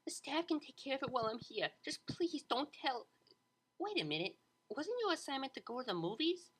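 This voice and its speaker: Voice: sing-song, moderate pace, fairly bright tone. A narrator voicing a nervous, chatty young mare waitress in a story, anxious and rambling. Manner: anxious, rambling, high-strung.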